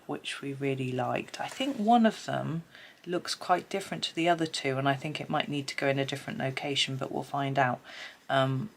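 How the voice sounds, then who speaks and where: A low-pitched middle-aged British woman talks while colouring, her voice a little stuffy from a blocked nose. She rambles in a chatty way, with plenty of ums and little asides. Her pencil scratches faintly behind her. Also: a little hiss or hum. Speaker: colouring channel host. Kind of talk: casual chat while colouring.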